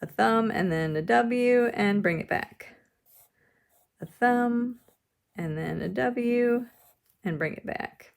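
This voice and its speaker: A woman leading a drawing lesson, explaining each step simply and with gentle encouragement, as if to children. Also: a little high, slow pace, vocal fry, pauses often. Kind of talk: instructional demonstration, step-by-step.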